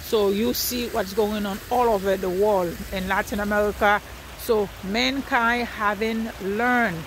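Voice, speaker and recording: A woman's voice: mid-range voice, fairly even intonation, measured pace, fairly bright tone, slight background noise, rough recording. A woman from Haiti with a Haitian accent giving an earnest spiritual talk outdoors by a river at night, repeating her phrases as she preaches.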